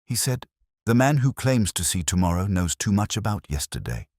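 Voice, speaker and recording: A man voicing a delicate, uneasy lady witness with a soft, hesitant manner in a storybook mystery. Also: lowish voice, very animated, moderate pace, dry recording, studio quality, pitched above the performer's own voice. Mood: uneasy, subdued.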